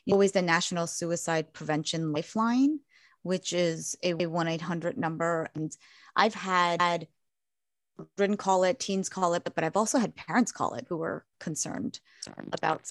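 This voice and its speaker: A woman clinician who works with children and teens speaks in a measured, halting way in a casual interview, with many restarts. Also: fairly low pitch, dry recording. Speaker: child and adolescent mental health clinician.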